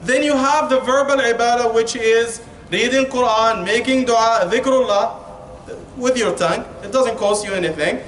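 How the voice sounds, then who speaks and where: A man's voice: very high-pitched, normal speed, a bit bright. A man with an Egyptian Arabic accent giving an informal, humorous conversational sermon as an Islamic preacher, often asking rhetorical questions and saying "you know". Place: indoor Islamic centre hall. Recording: echoey room, distant mic, faint noise.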